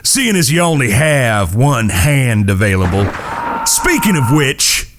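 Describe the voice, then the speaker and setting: Slow, coldly polite western outlaw played by a man. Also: mid-range voice, very animated, a bit bright, slight background noise. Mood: mocking. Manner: mock-courteous, deliberate, folksy. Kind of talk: western audio drama acting.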